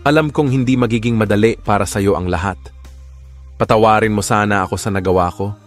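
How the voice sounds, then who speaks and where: A remorseful young husband voiced by a man pleads softly for his wife's forgiveness. His voice is low and gentle. Quiet music plays under him in this audio drama. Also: fairly quick, close to an everyday voice. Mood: pleading. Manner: soft, pleading, mixes English into Tagalog.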